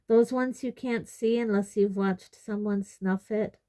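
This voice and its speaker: A woman's voice: mid-range voice, steady tone, a little slow, mellow, natural voice. A woman voicing a blunt, sceptical, exasperated teenage boy wizard in a storybook reading. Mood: uncertain. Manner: exasperated, demanding.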